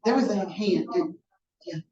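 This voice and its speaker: A woman's voice: mid-range voice, a little slow, mellow. A woman facilitating a workshop, guiding tables through priorities in a friendly, organized way. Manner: friendly, organized, talks to the room. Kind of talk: leading a group discussion. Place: large conference room. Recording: echoey, distant and dull room recording.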